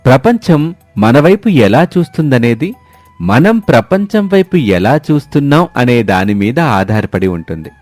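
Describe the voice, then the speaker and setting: A man's voice: medium pitch, big pitch swings, unhurried, darkish tone, no echo. A man narrating a scripted moral story as its storyteller. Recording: dry studio, music behind.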